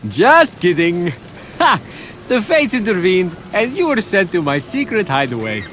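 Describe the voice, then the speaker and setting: An actor voicing a cheeky, glib trickster messenger god in a video game cutscene, full of joking. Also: male voice, high-pitched, sing-song, unhurried. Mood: mock-grave, joking.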